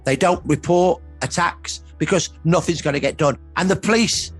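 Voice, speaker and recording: Raspy bloke, slightly high voice, history expert explaining stuff in an interview, really stresses his points. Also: normal speed. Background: faint background music.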